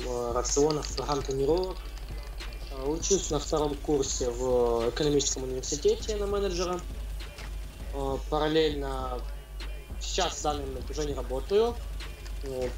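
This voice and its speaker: A man's voice: mid-range voice, darkish tone. A young man in his late teens, talking as an interview guest in a rambling, casual way with lots of fillers. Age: young adult. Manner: rambling, loose storytelling with many fillers. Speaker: interview guest, game player. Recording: echoey, distant mic.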